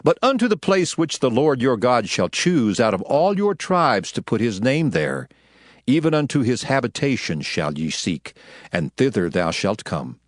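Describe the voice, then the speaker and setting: Stern prophet, swooping emphatic delivery. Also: male voice, mid-range voice, very animated, normal speed, no echo, studio quality. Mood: stern, commanding. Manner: emphatic, declamatory, commanding. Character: stern, commanding prophet delivering divine law. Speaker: actor in dramatized audio Bible. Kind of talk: dramatized scripture reading in archaic English.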